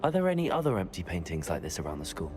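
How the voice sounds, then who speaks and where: Polite young wizard student. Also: male voice, sits low, huge pitch swings, rapid-fire, a little mellow, slight background noise. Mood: curious. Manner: polite, articulate. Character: polite, curious teenage male wizard student, earnest and confident. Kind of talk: scripted game cutscene acting. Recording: prominent music under the voice.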